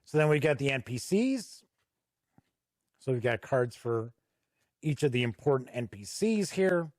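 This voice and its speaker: A man giving a casual product walkthrough as a reviewer, conversational and halting with frequent pauses. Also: mid-range voice, sing-song, a little mellow, no echo, clean studio sound. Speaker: tabletop game reviewer and host.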